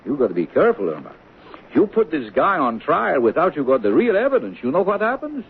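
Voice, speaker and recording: A man's voice: on the high side, wildly swooping pitch, a little fast, husky, full character voice. Wildly swooping, streetwise placating guy. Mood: coaxing, explanatory. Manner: coaxing, hesitant, slangy, ungrammatical. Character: soothing, streetwise working-class man placating his partner. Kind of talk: 1970s radio drama acting. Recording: noisy, tinny 1970s radio broadcast recording.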